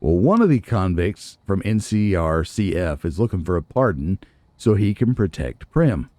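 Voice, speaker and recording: A man's voice: deep voice, very animated, normal speed, dark tone, no echo. A man giving casual, playful gameplay commentary, talking back to characters in a theatrical way. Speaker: let's play host.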